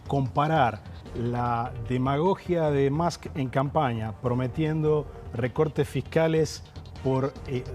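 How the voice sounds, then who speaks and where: A man with an Argentine Spanish accent gives political analysis as a guest in a TV interview, explaining things in a measured, formal way. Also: mid-range voice, a little mellow.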